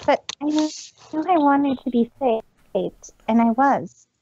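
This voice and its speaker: A woman's voice: high voice, slow, mellow, dull audio, a little hiss or hum. A woman voicing a proud but earnest female pony magician in a theatrical reading of fan fiction. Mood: earnest, reflective. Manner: theatrical, speaks of self in third person.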